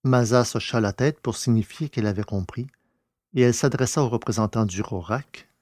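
A medium-pitched man reads scripted science-fiction narration. His delivery is measured and descriptive, in a literary storytelling style, with a dry, close sound. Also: a little mellow, no room sound.

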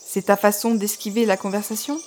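A woman's voice: mid-range voice, level tone, fairly quick, darkish tone, a little hiss or hum, natural voice. An actress playing a curious, teasing young gamer who narrates her adventure as a novice ranger in an audio drama. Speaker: narrator and lead character. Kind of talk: first-person audio drama narration with dialogue. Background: prominent music.

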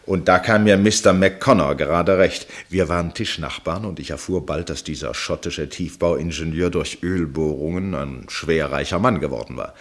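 An actor in a German radio play as a wry, detached gentleman narrator, speaking in a measured, ironic, cultivated way. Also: low-pitched.

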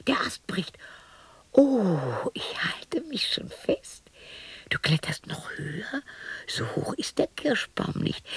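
Breathy, whispering older widow. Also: female voice, on the high side, no room sound, full character voice. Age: 60s. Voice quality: breathy, raspy. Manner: muttering to herself, dramatic, erratic. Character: lonely, eccentric, defiant widow of sixty unravelling. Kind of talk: radio play monologue acting.

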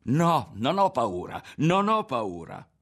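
A man's voice: sits low, sing-song, a little fast, vocal fry, a bit bright, slightly rough, exaggerated voice. A man voicing a proud, hot-tempered young boy who protests insistently in an audiobook story. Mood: defiant shouting.

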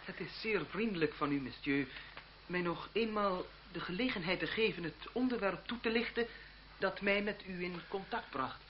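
An actor playing an earnest, polite young gentleman in a Dutch radio play, with a formal manner. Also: high-pitched, moderate pace, natural voice. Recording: dull, noisy old radio play recording.

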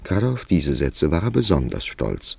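A wry, worldly man of letters narrates a literary radio play. His deep voice is creaky and moves widely in pitch, with measured, dramatic phrasing. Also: huge pitch swings, mellow, no echo, exaggerated voice. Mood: reflective narration. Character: older established male writer, wry, worldly narrator of his tale. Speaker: narrator and lead character.

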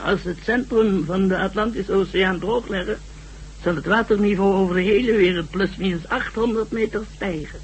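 An earnest professor in a radio drama, on a noisy old recording. His high voice has a creaky edge, and he lectures with deliberate emphasis. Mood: grave, emphatic. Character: earnest learned male professor explaining a doomsday threat.

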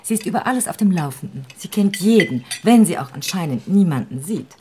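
An actress playing a flirtatious, worldly married society woman in a radio play, with a coquettish, expressive manner. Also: lowish voice, brisk, a little hiss or hum, lightly acted. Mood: knowing, gossipy. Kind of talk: German radio play acting.

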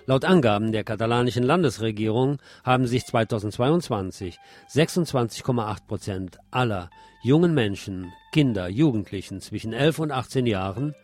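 A man hosting a radio programme, reading scripted commentary and news. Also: fairly low pitch. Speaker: radio host.

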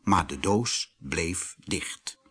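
A man narrating a children's storybook in an expressive, deliberate manner. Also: deep voice, husky.

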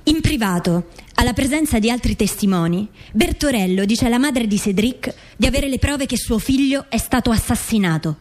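A woman's voice: on the high side, expressive, normal speed, a little mellow, natural voice. An actress playing a murdered wife who recounts her own case in formal, scripted radio drama narration. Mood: grave, questioning.

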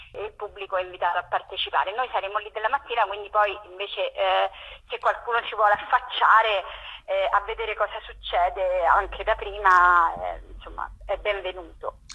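A woman's voice: medium pitch, fairly even intonation, moderate pace, bright, forceful tone, husky, muffled recording. A woman explains things informally as a radio interview guest, searching for her words as she talks over the telephone. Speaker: interview guest, theatre project organiser.

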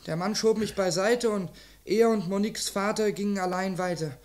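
A man's voice: high voice, moderate pace, darkish tone, lightly acted. A young man playing an earnest, defiant teenage boy under police questioning in an old German radio crime drama, defensive and impulsive. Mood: earnest, confessing. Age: young adult. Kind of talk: mid-20th-century German radio crime drama acting.

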